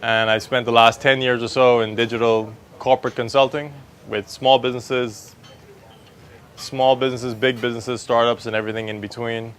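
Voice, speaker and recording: A man in his thirties from the Bahamas, presenting a talk in a relaxed, conversational way into a handheld mic in an open-air pavilion. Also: medium pitch, moderate pace. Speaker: speaker, digital marketing consultant. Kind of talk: conference talk.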